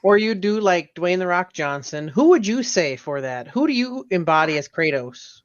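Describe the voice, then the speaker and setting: A man's voice: high voice, animated, moderate pace, a little hiss or hum. A man co-hosting a podcast in casual chat, recorded with a muffled, lo-fi sound. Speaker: podcast co-host. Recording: dull, lo-fi microphone sound.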